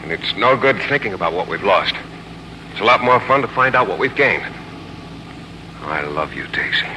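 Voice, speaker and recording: Haunted husband, low pitch, very expressive, wry and hesitant, 1940s radio drama, old broadcast. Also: male voice, normal speed, background noise. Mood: affectionate, hesitant.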